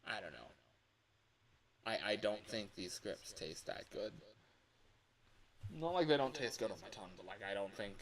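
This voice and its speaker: A man chatting casually as a livestreamer, rambling and halting, often correcting himself and sometimes swearing. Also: lowish voice, expressive, a bit bright, a little hiss or hum, rough recording. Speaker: livestreamer recording voice lines.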